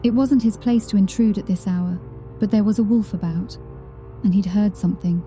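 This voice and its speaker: A woman's voice: mid-range voice, moderate pace, dark tone, faint music behind. A woman reading a scripted horror story as an audiobook narrator, in a dramatic storytelling style.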